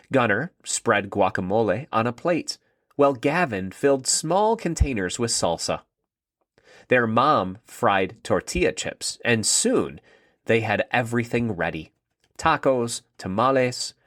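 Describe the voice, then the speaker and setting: Animated male children's storyteller, close dry sound. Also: medium pitch, dry recording. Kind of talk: scripted narration of a children's story.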